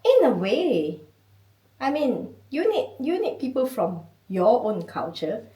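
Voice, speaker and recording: Malaysian lady chatting away in an interview, laughs a lot, lively voice, sounds a bit far off in an echoey room. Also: on the high side, slow pace. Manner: animated, laughing, chatty with many "you know"s. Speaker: guest. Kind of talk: casual interview. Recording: echoey room, mic at a distance.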